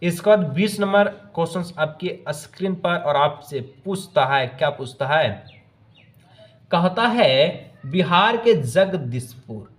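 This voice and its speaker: A man's voice: fairly high pitch, lively intonation, slow pace, fairly bright tone. A man teaching exam questions to students, repeating himself as he asks and answers, with English phrases mixed in. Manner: repetitive, asks each question then answers it; mixes English phrases into speech. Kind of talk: quiz-style lesson narration addressed to students.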